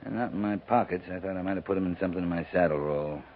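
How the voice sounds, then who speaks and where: An actor playing a gruff, absent-minded frontier cavalry captain in a muttering 1950s radio western style. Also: male voice, sits low, racing. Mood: preoccupied. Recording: noisy, tinny 1950s radio recording.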